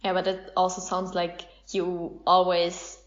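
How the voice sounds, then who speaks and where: A woman's voice: lowish voice, completely flat delivery, measured pace, dull audio. A young woman hosting a podcast, interviewing in a casual, agreeable and encouraging way. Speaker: podcast host.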